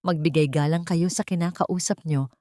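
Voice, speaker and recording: A woman narrator plays a stern male protector in a drama story. He speaks with cold authority in a fairly low voice, very dry and close. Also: fairly even intonation, normal speed, warm tone, dry recording, close to an everyday voice. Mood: cold, commanding.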